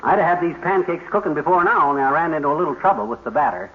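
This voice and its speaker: An actor playing a blustery, cheerful bumbling husband in a 1950s radio comedy, chummy and boastful. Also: male voice, on the high side, lively intonation, rapid-fire, lightly acted. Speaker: sitcom husband. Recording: hissy lo-fi 1950s radio broadcast.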